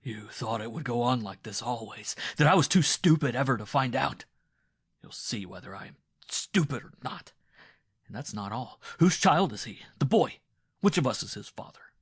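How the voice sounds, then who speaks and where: A man voicing a jealous, furious peasant husband in a storybook reading, who swings between loud shouts and a hoarse, hissing whisper. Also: medium pitch, expressive, normal speed, no echo, clean studio sound, pitched above the performer's own voice. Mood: accusing. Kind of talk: audiobook dialogue reading.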